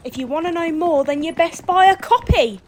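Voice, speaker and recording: Shrill, cheeky young newspaper seller. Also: female voice, a little fast, a little hiss or hum. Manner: loud street-crier, brash. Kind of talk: audio period drama acting.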